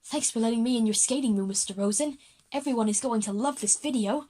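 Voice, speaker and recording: A woman's voice: fairly high pitch, fast, dark tone, lightly acted. A woman playing an eager skateboarding schoolboy in a children's audio drama. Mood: excited.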